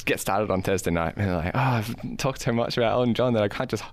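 A man's voice: on the low side, fast talker, no room sound. A man with an Australian accent co-hosts a podcast in casual banter, with dry, tongue-in-cheek humour. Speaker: podcast co-host.

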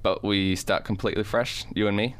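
A man's voice: on the low side, steady tone, brisk, pitched above the performer's own voice, natural voice. A man acting a mild-mannered, weary school teacher in radio play dialogue, earnest and a little hesitant. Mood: wistful.

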